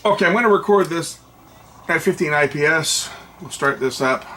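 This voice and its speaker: A man's voice: on the high side, normal speed, sharp tone, a little hiss or hum. A man giving casual, hands-on commentary as a repair technician, informally muttering to himself as he works. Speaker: repair technician presenting his own video. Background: music playback, guitar.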